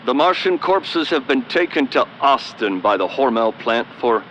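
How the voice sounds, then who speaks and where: A man playing a matter-of-fact bearer of grim news in a comedic radio drama, deliberate and deadpan. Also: mid-range voice, a little hiss or hum, close to an everyday voice. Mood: grave deadpan. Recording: dull lo-fi radio sound, music behind.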